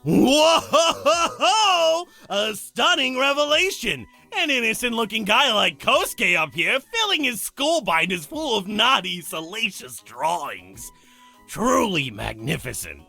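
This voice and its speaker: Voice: mid-range voice, sing-song, slow, vocal fry, pushed and bright, soft background music, full character voice. An actor playing a flamboyant, teasing demon master of ceremonies in a visual novel, announcing with theatrical relish. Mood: mock amazed. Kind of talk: character acting in a visual novel.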